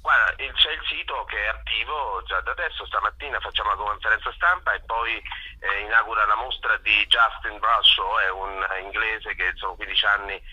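A man's voice: on the low side, steady tone, moderate pace, bright, forceful tone, breathy edge, muffled recording. A man speaking as a festival director in an informal interview, effusive and often correcting himself. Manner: enthusiastic, effusive, hesitant with frequent self-corrections.

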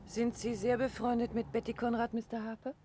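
An actress playing a detective's composed, gently probing wife in a 1950s German radio play, with polite manners. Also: female voice, on the high side, flat delivery, brisk, slight background noise, natural voice.

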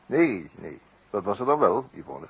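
A wry, urbane male detective in an old radio drama. His low voice swings expressively as he teases and probes in ornate, ironic phrases, over noticeable vintage recording hiss. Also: sing-song, moderate pace, vocal fry, dull audio. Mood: polite, musing. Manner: pompous, ironic, wordy turns of phrase. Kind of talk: 1960s Dutch radio play acting.

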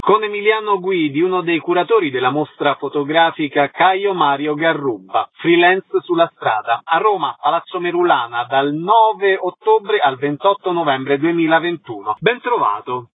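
A man hosting an arts interview on the radio, announcing event details in clear announcer-like phrases. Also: a little high, lively intonation, normal speed, few pauses, faint music behind. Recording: muffled, distant radio sound.

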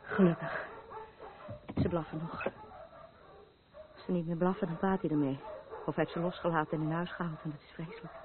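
Een actrice die in een hoorspel een getroebleerde, angstige vrouw speelt die zichzelf als nachtwaakster en onheilsprofeet ziet, ernstig en ratelend van gedachte naar gedachte. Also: female voice, lowish voice, natural voice. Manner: rambling, earnest, haunted. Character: troubled, fearful woman, self-appointed night watcher and doomsayer. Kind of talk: radio play acting. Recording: muffled phone-like sound with background noise.

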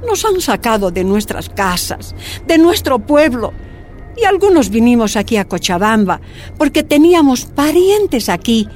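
An actress playing an older, warm and resolute miners' leader in a radio drama, speaking emphatically. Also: female voice, on the high side, sing-song, a little slow, faint music behind. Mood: sorrowful, grave. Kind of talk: radio drama acting, first-person testimony.

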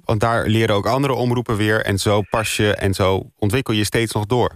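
A man's voice: lowish voice, steady tone, a little fast. A man hosting a live radio phone-in, interviewing callers and summing up their points. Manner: paraphrases callers' points back. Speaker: radio host.

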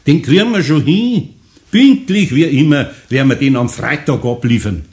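Actor as kindly older Bavarian master carpenter. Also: male voice, medium pitch, animated, moderate pace, darkish tone, close to an everyday voice. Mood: reassuring. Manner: homely, good-natured dialect speech. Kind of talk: radio play acting for children.

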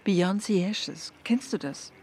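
An actress playing an earnest, intense woman with spiritual convictions in a radio drama, insistent and at times hushed. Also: mid-range voice, lively intonation, takes it slowly, slight background noise. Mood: earnest, confiding. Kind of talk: naturalistic radio drama dialogue.

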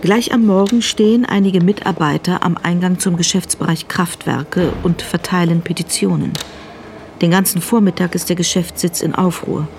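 An actress as the sober, factual narrator of a radio crime drama. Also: female voice, lowish voice, darkish tone, a little hiss or hum, natural voice.

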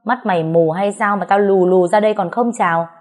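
Burly female street bully for audio story, played by a woman narrator: taunting, threatening, loud and coarse. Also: mid-range voice, expressive, a little fast, close to an everyday voice. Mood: menacing, taunting. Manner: taunting, threatening, barking rhetorical questions. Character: burly thuggish woman bully, menacing and foul-mouthed.

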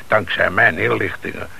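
A man's voice: low-pitched, unhurried, vocal fry, bright, forceful tone, heavily acted. An actor in a Dutch radio play playing a shifty small-time crook who deals in cars, speaking hesitant, slangy street talk and hedging his answers. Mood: angling, sly. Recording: noisy old radio play recording.

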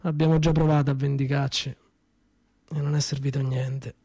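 A young adult man, performing a first-person stage monologue in a conversational, hesitant way, repeating words and dropping softly at times. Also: medium pitch, flat delivery, moderate pace.

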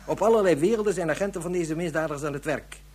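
A slightly raised, husky male voice plays a science fiction police inspector. He is grave and careful, laying out each point with measured official authority. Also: on the high side, a little mellow. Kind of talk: radio drama acting.

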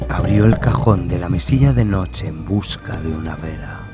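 Male narrator for horror audio fiction: deep, unhurried and suspenseful, over prominent music. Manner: measured, suspenseful, with dramatic pauses. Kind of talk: dramatic scripted horror narration. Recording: loud music under the voice. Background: loud music bed.

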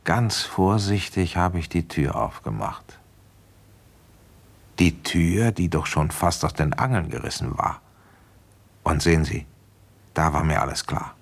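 An actor playing a weary, shrewd provincial policeman recounting an old case in a radio play, deliberate, conversational and wry. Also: deep voice. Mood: wry, reminiscing. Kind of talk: German radio play, first-person narration and dialogue.

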